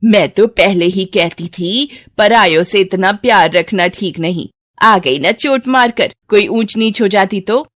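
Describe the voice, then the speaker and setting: A woman's voice: mid-range voice, a little slow, a bit bright, no echo, studio quality. A woman voicing a meddling, suspicious old village aunt who scolds and taunts in a rustic way. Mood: disapproving. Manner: taunting, dialect-tinged scolding.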